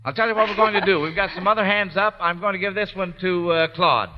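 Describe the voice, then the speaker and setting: A man's voice: high voice, fast, a crowd in the background. A man hosting a 1940s radio quiz show, reading out listener questions and faltering a little when interrupted. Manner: hesitant and filler-prone when interrupted. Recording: old radio recording, dull sound, long reverb.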